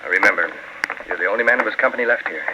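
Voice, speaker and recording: A man's voice: on the low side, racing, close to an everyday voice. An American man playing a direct, commanding army doctor in a 1940s radio drama. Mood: grave concern. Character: brisk, authoritative male army doctor captain. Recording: old radio recording with faint hiss.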